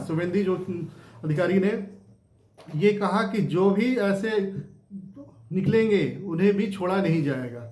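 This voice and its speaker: A man's voice: high voice, darkish tone. A man giving informal, unscripted news commentary, explaining points repetitively and checking in with rhetorical tags. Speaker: host of a news discussion channel. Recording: roomy, echoing room sound.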